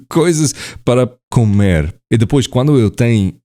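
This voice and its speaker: A man's voice: medium pitch, expressive, unhurried, dark tone. A man vlogging in a language he is still learning, hesitant and full of fillers and self-corrections, often laughing at himself. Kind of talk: casual to-camera talk in a learned language.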